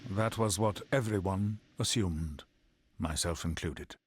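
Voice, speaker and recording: A man's voice: deep voice, slow, breathy edge, dry recording, clean studio sound, full character voice. A man playing a grave, world-weary mercenary who narrates a war story in a video game with solemn disdain. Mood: somber, reflective. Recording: game music loud behind the voice.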